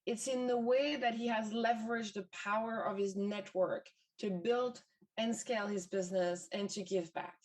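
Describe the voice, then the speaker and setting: A woman's voice: mid-range voice, fairly even intonation, moderate pace, a little mellow, far from the mic. French-accented woman giving a keynote. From France. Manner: frequent fillers and repeated words, storytelling. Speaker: event speaker. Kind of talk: motivational keynote storytelling.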